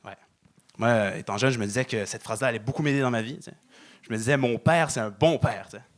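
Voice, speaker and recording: A young man doing stand-up on a club stage, deadpan, stalling with fillers. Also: medium pitch. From Moroccan family. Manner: deadpan, halting with many fillers. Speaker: stand-up comedian. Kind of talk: stand-up set.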